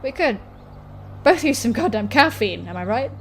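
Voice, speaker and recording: A woman acts out a rough-edged young punk woman from a visual novel, pitched fairly high with a wispy rasp. She is anxious and earnest, fretting over a friend, with music playing behind her. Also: animated, normal speed. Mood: encouraging. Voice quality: raspy, wispy.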